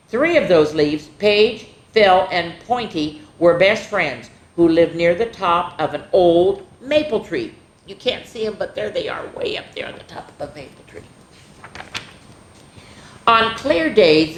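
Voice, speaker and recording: Animated woman reading a children's book. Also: mid-range voice. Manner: animated, chatty asides to kids. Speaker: children's storyteller. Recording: roomy echo.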